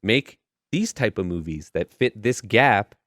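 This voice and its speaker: A man co-hosting a casual podcast, halting as he explains and acting out imagined dialogue in an animated way. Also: on the low side, no room sound. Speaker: podcast co-host.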